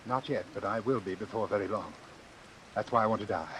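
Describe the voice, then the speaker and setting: A man's voice: fairly low pitch, a bit bright, natural voice, poor audio. Man acting a formal, calculating doctor, hissy recording. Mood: smooth, businesslike. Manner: formal, precise, measured. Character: cold, calculating male doctor obsessed with his experiment. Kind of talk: vintage suspense radio drama narration and acting. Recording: hissy old radio recording.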